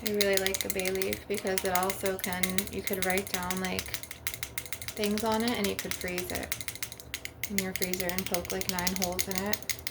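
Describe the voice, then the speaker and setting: A woman with a creaky, fry-laden voice at a medium pitch speaks casually to her viewers. She rambles along as an ASMR host with loose, filler-heavy phrasing. Loud background noise, with tapping and clicking, runs behind her voice. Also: little pitch movement, moderate pace. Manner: rambling, with frequent "like" and "you know". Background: crystal and glass tapping, clicks, background noise.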